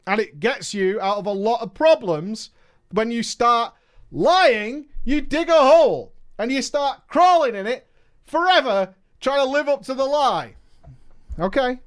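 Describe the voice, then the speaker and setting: Male streamer, story reader with commentary; bouncy, animated delivery, mocking side remarks. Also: very high voice, measured pace. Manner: emphatic, mocking asides. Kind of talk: reading a viewer's story aloud with commentary.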